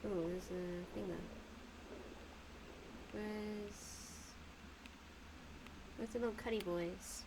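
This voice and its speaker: A woman's voice: medium pitch, fairly even intonation, drawn-out words, pauses often, background noise. A woman giving casual live gameplay commentary, playful and giggly, often muttering to herself. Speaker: streamer playing a video game.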